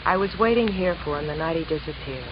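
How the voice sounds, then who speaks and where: An actress playing a brassy, blasé young wife in a 1950s radio crime drama, offhand and curt. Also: female voice, low-pitched, lively intonation, fast talker. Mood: cool, guarded. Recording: muffled vintage radio, sizzling noise.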